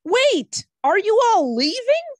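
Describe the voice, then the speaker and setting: A small, anxious talking bush from a fantasy cartoon, played by a woman. The voice is high and swings up and down a lot. It speaks slowly and hesitantly, pleading like a nervous child. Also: sing-song, dry recording, studio quality, heavily acted. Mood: frightened pleading. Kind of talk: animated fantasy table read acting.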